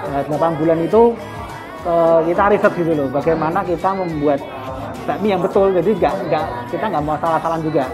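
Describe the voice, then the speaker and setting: A man who owns a restaurant answers interview questions in a thoughtful way, pausing often to find his words. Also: on the high side. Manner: thoughtful, pauses with many fillers. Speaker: restaurant owner. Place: busy restaurant. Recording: loud music and crowd chatter. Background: music and diners chattering.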